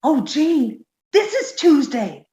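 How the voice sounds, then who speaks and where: Radio sitcom ditz , played by a woman: naive, skittish young woman, high and unhurried, in a roomy recording. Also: sing-song, mellow, muffled recording, heavily acted. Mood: wide-eyed. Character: dim, childlike, easily frightened young woman, comedy ditz. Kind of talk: 1950s radio sitcom reading.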